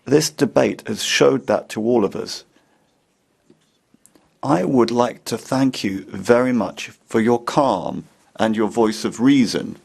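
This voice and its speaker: A man's voice: lowish voice, vocal fry, a little mellow, husky. A man interpreting a parliamentary speech in a firm, pointed way. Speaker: simultaneous interpreter.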